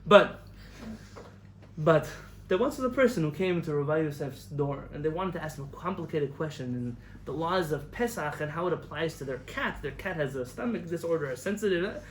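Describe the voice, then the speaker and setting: A young man from the United States giving a lively lecture as a rabbi, telling stories, acting out quotes and laughing at his own jokes. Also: a little high, normal speed, slight background noise. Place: lecture hall in front of an audience. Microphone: camera recording of a live talk.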